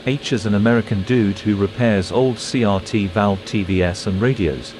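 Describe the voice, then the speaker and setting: Low synthetic male chat-reading voice. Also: normal speed, a little mellow, a little hiss or hum. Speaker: text-to-speech chat reader. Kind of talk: synthetic reading of viewer messages.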